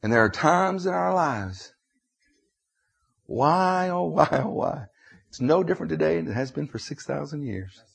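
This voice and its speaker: An American man in his fifties with a husky voice, preaching an emotional sermon, dramatically swinging between hushed confession and loud cries. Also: fairly high pitch, expressive, normal speed. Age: 50s.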